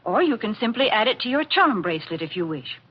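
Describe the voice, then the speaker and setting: High-voiced, lively 1940s radio saleswoman. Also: fast, muffled recording. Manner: wholesome, persuasive, clearly enunciated sales talk. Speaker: commercial announcer and co-host. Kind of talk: 1940s radio advertising spiel. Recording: thin, hissy vintage radio broadcast.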